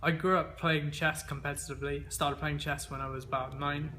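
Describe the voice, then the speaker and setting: Young man in his twenties from England, easygoing interviewee, bright tense tone, fairly high and flat, faint background hum. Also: fairly quick. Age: 20s. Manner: easygoing, many fillers and restarts. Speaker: interviewee, games player. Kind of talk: casual interview answers.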